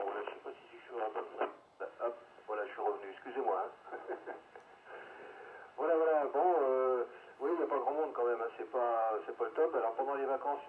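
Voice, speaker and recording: A man chatting casually over amateur radio in a hesitant, rambling way, through a radio transmission. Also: mid-range voice. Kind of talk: casual radio group chat. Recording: narrow phone-like radio link, static noise.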